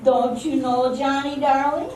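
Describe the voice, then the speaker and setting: An amateur actress playing an ambitious, self-centred dancer with a coaxing, dramatic manner in a radio drama. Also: female voice, sits high, fairly even intonation, measured pace, a little mellow. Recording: very echoey hall, distant mic, faint noise.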